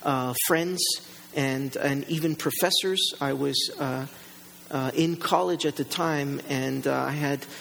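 A middle-aged man whose first language is Italian, giving a personal testimony as a guest in a Q&A, halting and full of fillers. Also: mid-range voice, fairly even intonation, gravelly, fairly bright tone, long reverb tail, slight background noise. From born in Germany to an Italian family, raised in Italy and Canada. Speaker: interview guest.

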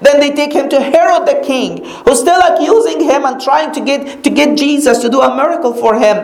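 Echoey, higher-voiced woman preaching. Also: creaky, darkish tone. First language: Arabic. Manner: emphatic, repeats key phrases with slow dramatic pauses. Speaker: Bible teacher. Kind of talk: sermon teaching. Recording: echoey room.

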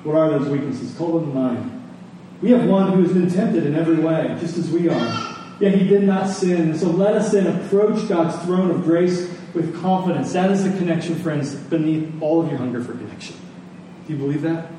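Male preacher, mellow high voice, earnest sermon delivery, repeated key phrases, very reverberant room. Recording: echoey room, distant mic, faint noise.

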